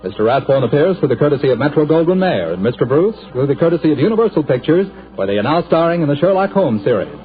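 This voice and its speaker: A male radio announcer in 1940s style, reading ads in a smooth, cheerful, salesy way. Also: fairly high pitch, sing-song, fast, hardly any pauses, music in the background. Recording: tinny 1940s radio broadcast.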